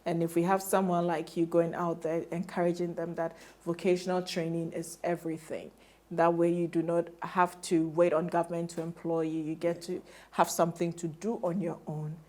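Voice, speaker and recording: A woman's voice: lowish voice, little pitch movement, moderate pace, darkish tone. Chatty woman TV host. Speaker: TV interviewer. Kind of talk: interview hosting.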